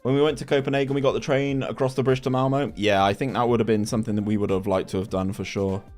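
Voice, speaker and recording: A man's voice: mid-range voice, steady tone, fast talker, a little mellow, faint music behind. A young man, chatting casually on a stream and reading chat aloud with lots of restarts. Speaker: gaming streamer.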